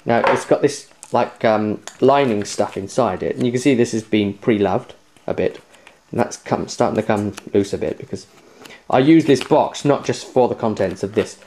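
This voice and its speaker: A man's voice: on the low side, moderate pace, a little mellow. A man hosting a casual tabletop game unboxing, chatty and jokey with playful theatrical flourishes. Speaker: host of a tabletop game review show. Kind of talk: casual unboxing review to camera.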